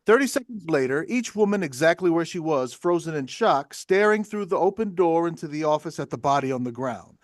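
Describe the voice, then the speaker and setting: Casting: male stage-direction reader, fairly high voice, dry close sound. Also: lively intonation, a little fast, few pauses, no echo. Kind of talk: scripted narration at a table read.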